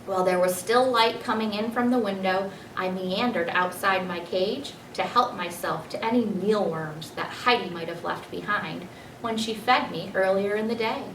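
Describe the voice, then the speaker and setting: A woman narrates a children's storybook expressively at a middle pitch. She sounds a bit away from the microphone in an echoey room, with faint noise behind her. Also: normal speed, fairly bright tone, distant mic. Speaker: teacher reading a children's book aloud.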